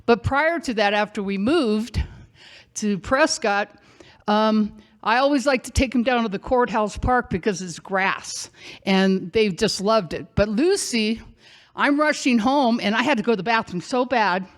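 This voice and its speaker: Woman storyteller on stage with a loud, high voice, animated and unhurried. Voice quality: loud, projecting voice. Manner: animated, conversational, acts out shouting and quotes. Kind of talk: live personal storytelling on stage. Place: theater stage.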